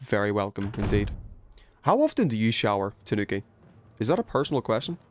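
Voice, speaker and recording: A man's voice: on the low side, sing-song, a little fast, slight background noise. A man hosting a video game livestream, rambling and thinking aloud as he chats casually with viewers. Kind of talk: casual gaming stream chat, reading viewer messages.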